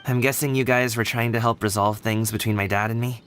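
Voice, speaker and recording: A man's voice: medium pitch, steady tone, fairly quick, fairly bright tone, faint music behind, natural voice. An actor playing an earnest young man at odds with his father, who sounds a little weary and sighs often. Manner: earnest, a bit weary, sighing. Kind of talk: game voice acting.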